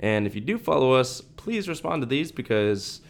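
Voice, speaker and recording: A man's voice: mid-range voice, big pitch swings, moderate pace, gravelly. A young man co-hosting a podcast in casual chat, laid-back and dropping "man" and "dude" often. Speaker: podcast co-host.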